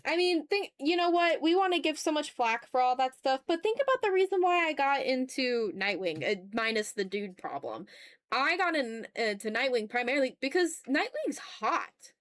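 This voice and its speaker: A woman's voice: sits high, sing-song, moderate pace, no echo. Casual woman chatting on an art livestream, dry sound. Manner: informal, muttering asides to self. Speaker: art streamer. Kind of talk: casual livestream chat while drawing.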